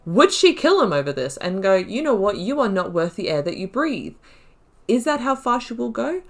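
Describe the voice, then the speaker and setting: A woman hosting a game playthrough, thinking aloud in casual, expressive commentary with emphatic repetition. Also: medium pitch, normal speed. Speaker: gaming video host.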